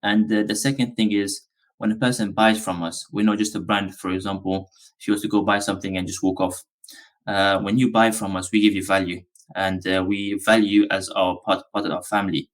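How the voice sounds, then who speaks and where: A man from Afghanistan, a business founder being interviewed on a podcast, talking in a relaxed, rambling way with many ums and uhs. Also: lowish voice, fairly quick.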